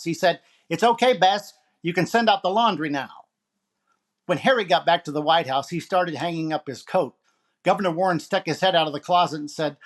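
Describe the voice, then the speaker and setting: A man playing a wisecracking comedian, delivering a topical monologue in 1940s radio comedy style. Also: high-pitched. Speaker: actor playing a comedian host.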